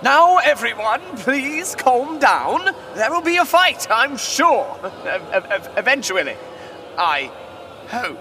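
A fussy male arena creature speaks extremely high, stretching out halting, melodramatic phrases in an audio drama. The pitch swoops about, with noise behind the voice. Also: sing-song, full character voice. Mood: flustered, nervous.

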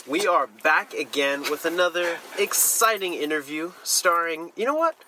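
A man's voice: a little high, expressive, moderate pace. A young man hosting a podcast, hyped up, slangy and cussing freely. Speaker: podcast host. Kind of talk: casual podcast chat. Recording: noticeable background noise.

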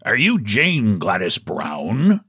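A man's voice: on the low side, huge pitch swings, slow pace, pushed and bright, slightly rough, no room sound, studio quality, exaggerated voice. A man voicing a short, fat, shabby book agent in a storybook, sly and mock-polite with a mysterious, pompous manner. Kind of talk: storybook character voice.

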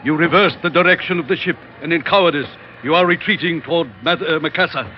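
An actor playing a stern, suspicious missionary reverend in old-time radio drama, with a formal, declamatory, accusing delivery. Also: male voice, fairly high pitch, quick pace, lightly acted. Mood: indignant, accusing. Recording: muffled vintage radio recording with clear noise.

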